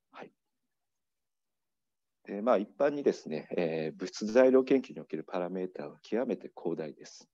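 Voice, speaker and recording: A middle-aged man giving a keynote lecture, speaking in a measured way with many fillers and pauses, recorded from his desk for a remote talk. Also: deep voice, dark tone, no room sound. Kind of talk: academic conference lecture. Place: indoor room, video talk over slides. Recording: dull muffled remote talk audio.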